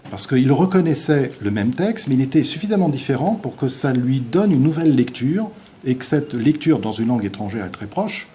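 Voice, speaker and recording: Halting, mellow-voiced male lecturer. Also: medium pitch, big pitch swings, slight background noise. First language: Portuguese. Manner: halting, many restarts and filler sounds. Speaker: visiting university lecturer. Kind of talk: academic lecture. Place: university lecture room.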